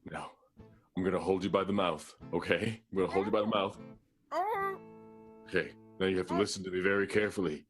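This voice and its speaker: A man's voice: deep voice, flat delivery, fairly quick, long pauses, natural voice. A man playing an earnest, lovesick, secretive character in an improvised radio soap, with guitar music behind him. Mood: urgent, grave.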